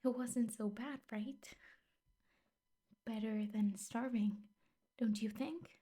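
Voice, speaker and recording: A woman playing a possessive, obsessive yandere teacher girlfriend in an ASMR roleplay, speaking softly and often whispering, coaxing and doting with menace underneath. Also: fairly high pitch, steady tone, takes it slowly. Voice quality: soft, breathy, often whispering. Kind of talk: ASMR roleplay, intimate scripted monologue.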